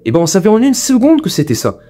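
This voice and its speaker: A man's voice: high voice, huge pitch swings, fast, mellow, soft background music. A young man hosting a video essay, giving informal, opinionated commentary in an emphatic, repetitive, colloquial way.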